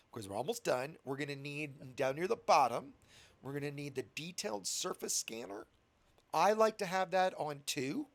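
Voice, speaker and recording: A man with a scratchy voice from a cold leads a casual game tutorial, coaching a beginner step by step and chatting to his viewers. His voice sits fairly high and swings widely in pitch. A gravelly fry runs under his words. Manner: patient, chatty instructor addressing viewers.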